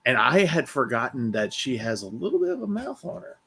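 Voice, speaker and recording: Husky man with lively intonation. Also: mid-range voice, fairly quick. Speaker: panel co-host. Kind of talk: casual chat.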